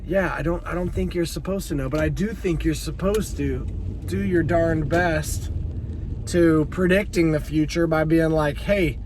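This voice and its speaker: A man's voice: on the high side, steady tone, a little slow. A man in his late thirties, a teacher, talking earnestly and rambling a little in a casual motivational vlog from his car. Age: late 30s. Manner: rambling, earnest, self-interrupting. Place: car while driving. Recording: car noise in the background. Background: traffic noise.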